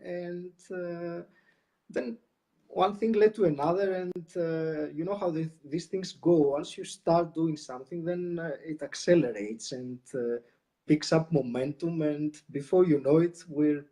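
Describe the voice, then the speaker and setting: A man from Greece talks through a live video interview. His voice sits a little high for a man, with a mellow, soft tone. He speaks in measured bursts with frequent "uh" pauses. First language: Greek. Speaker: podcast co-host, guest. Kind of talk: casual interview chat.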